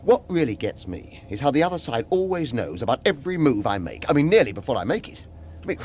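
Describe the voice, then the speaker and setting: Man acting wry, defiant British operative, animated. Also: mid-range voice, fast talker, a little hiss or hum, natural voice. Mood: sardonic weariness. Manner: wry, sarcastic, protesting. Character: sardonic, defiant ex-intelligence operative under suspicion. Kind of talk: British radio drama acting.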